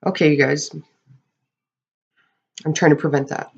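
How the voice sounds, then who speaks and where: Hoarse tarot reader, crackly voice, chatty, keeps checking in with viewers, clears throat a lot. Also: mid-range voice, steady tone, unhurried, muffled recording. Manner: chatty, often checks in with "okay?". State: hoarse, losing voice. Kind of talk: casual monthly tarot reading to camera.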